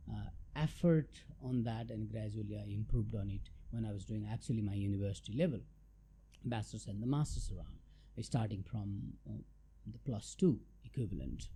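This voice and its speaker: Man, middle-aged, from Nepal, gravelly voice, hesitant interview guest. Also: medium pitch, warm tone. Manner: thoughtful and hesitant, many fillers and restarts. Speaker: guest, chartered tax adviser. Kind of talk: relaxed interview answers.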